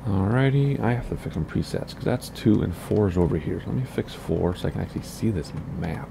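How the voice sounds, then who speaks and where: A man with a raspy voice, casually talking through a flight simulator session and thinking aloud as he plays. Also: lowish voice, lively intonation, a little fast, background noise. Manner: chatty, thinking aloud while playing. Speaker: flight sim streamer. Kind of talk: casual gameplay commentary.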